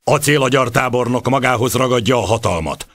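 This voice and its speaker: An actor playing a formal, faintly menacing military briefing narrator in a video game, measured and official. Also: male voice, medium pitch, fairly bright tone, no echo, lightly acted. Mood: solemn.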